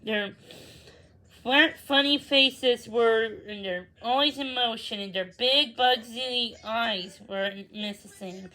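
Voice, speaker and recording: A woman reading a children's story aloud, a little haltingly, now and then repeating a word. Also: fairly high pitch, pushed and bright. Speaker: storybook reader.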